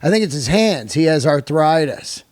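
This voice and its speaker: A man's voice: a little high, animated, normal speed, darkish tone, dry recording. An American man hosting a podcast in casual, slangy conversation, swearing freely. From San Francisco, United States. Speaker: podcast host.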